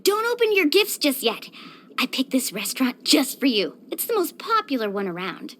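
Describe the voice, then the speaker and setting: A woman voicing an upbeat, caring, sporty young surfer in a video game, speaking in a peppy, expressive, friendly way. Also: high voice, moderate pace, a little hiss or hum. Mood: excited, celebratory. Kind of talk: scripted video game voice acting.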